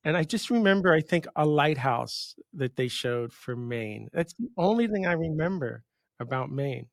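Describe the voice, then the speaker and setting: A man's voice: a little high, expressive, normal speed, vocal fry, no echo, clean studio sound. A man in his fifties from New York hosting a casual podcast interview, telling rambling stories and interrupting himself. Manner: rambling, storytelling, self-interrupting. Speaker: podcast host.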